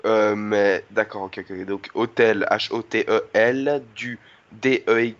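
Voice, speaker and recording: Low-voiced, muddled dim barman played by a man. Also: little pitch movement, no echo. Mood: politely puzzled. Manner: slow-witted, earnest, muddled, asks for repeats. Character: polite, dim-witted barman hopelessly confused by spelling. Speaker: prank caller. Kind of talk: improvised prank phone call. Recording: dull lo-fi phone call audio.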